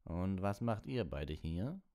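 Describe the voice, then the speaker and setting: A man's voice: low voice, big pitch swings, takes it slowly, dark tone, full character voice. A man voicing a cold, menacing schoolmaster with a drawling, suspicious manner, in an audiobook. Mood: suspicious, sneering.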